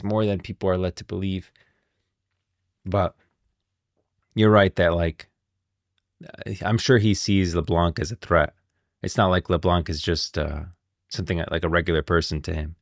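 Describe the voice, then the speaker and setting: Male streamer, low voice, dry sound, casual live commentary, rambling, repetitive, thinking out loud. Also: creaky, dry recording. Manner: rambling, thinks aloud, repeats himself. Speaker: streamer commenting on game lore.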